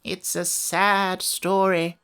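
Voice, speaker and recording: A woman's voice: fairly low pitch, little pitch movement, slow, a bit bright, smooth. A peevish, ancient sand fairy from a children's storybook, played by a woman. The creature is fussy and sorry for itself, spinning out old memories in a gloomy, grumbling manner. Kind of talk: children's audiobook character voice.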